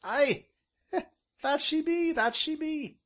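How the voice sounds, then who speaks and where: A man's voice: extremely high pitch, slow pace, a bit bright, pitched above the performer's own voice, full character voice. A man voicing a talkative, chuckling old rustic grandfather with an English rural dialect who repeats himself. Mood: proud, gleeful.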